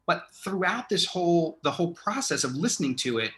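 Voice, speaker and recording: A man's voice: on the high side, sing-song, fairly quick, fairly bright tone. A man interviewing a guest in a casual chat, effusive and rambling as he thinks aloud. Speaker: interviewer, theatre artistic director.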